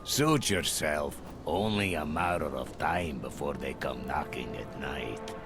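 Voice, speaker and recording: Male shady game fixer, very low voice, curt, blunt and money-minded, music underneath. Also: lively intonation, takes it slowly, exaggerated voice. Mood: grudging. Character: surly, mercenary male permit racketeer. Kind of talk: video game dialogue acting.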